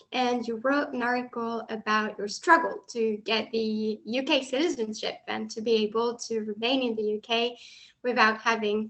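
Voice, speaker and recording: Female interviewer, clearly high pitch, bright forward tone, reading out prepared questions. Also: low-quality recording. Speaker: interviewer, host.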